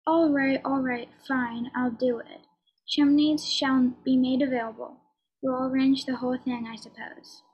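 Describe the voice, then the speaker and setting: A woman's voice: high voice, steady tone, a little slow, husky. An actress playing a reluctant, wry English lady of title in a radio play, dryly sardonic, in slightly dull sound. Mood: grudging. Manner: dry, sardonic, evasive.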